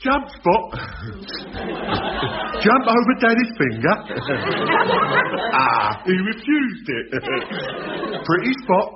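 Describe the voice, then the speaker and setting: An actor playing a dim, childlike but violent young ex-convict in a radio sitcom, speaking in blunt phrases. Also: male voice, high voice, very animated, very slow, long pauses, recorded from a distance, over a noisy crowd, heavily acted. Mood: cooing. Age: young adult. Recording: muffled, noisy old radio recording, roomy, audience.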